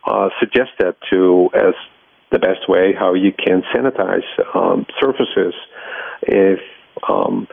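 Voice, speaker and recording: A middle-aged man with a Dutch accent answering interview questions as a research scientist, explaining his work in a halting way with frequent pauses. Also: on the low side, gravelly, no room sound, phone-quality sound. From Netherlands.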